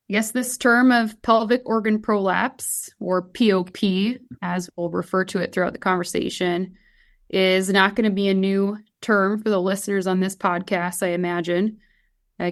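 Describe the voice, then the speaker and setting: Woman in her 30s, podcast guest explaining research stuff, medium pitch. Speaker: guest expert, swine geneticist. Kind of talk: explanatory podcast interview talk.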